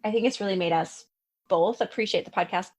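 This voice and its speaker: A woman's voice: mid-range voice, fairly quick, breathy edge. A woman co-hosting a podcast in casual chat, informal and reassuring in the way she talks. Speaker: podcast co-host.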